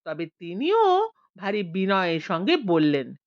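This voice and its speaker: Animated, slow-paced woman storyteller. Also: mid-range voice, dry recording, lo-fi sound. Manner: dramatic, unhurried storytelling delivery. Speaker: audio story narrator. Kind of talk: expressive scripted narration of a comic ghost story.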